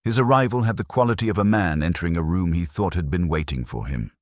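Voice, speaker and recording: A man narrating a first-person detective story in a wry, measured storytelling manner. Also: low-pitched, dry recording, studio quality. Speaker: audiobook narrator.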